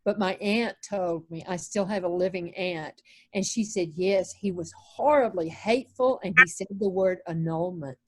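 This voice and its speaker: A woman's voice: fairly low pitch, moderate pace, rough recording. A woman speaking casually as a playwright in a group discussion over a video call.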